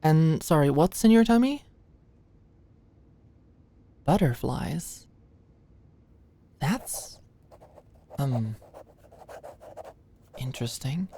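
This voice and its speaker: A low-pitched young female medical student, acted by a woman, recorded close and dry. She is anxious and friendly, talking in a hesitant, conversational ASMR roleplay style and easily flustered around the person she secretly likes. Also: a little mellow, no echo, exaggerated voice. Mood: flustered, awkward. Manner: hesitant, chatty, nervous, conversational.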